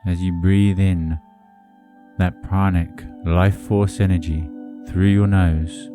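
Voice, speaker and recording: A man narrating a hypnotic guided meditation in a soothing, deliberate manner with measured phrasing. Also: low-pitched, little pitch movement, dark tone. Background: music under the voice.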